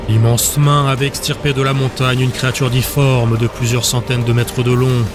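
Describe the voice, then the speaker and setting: A man narrating a dramatic fantasy audiobook from a script. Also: medium pitch.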